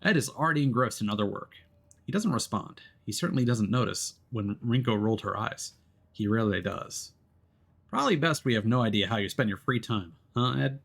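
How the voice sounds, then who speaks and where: A man's voice: medium pitch, lively intonation, fairly quick, vocal fry, a bit bright. Man reading game text aloud expressively. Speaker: streamer playing a visual novel. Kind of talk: dramatic read-aloud of game text with casual asides.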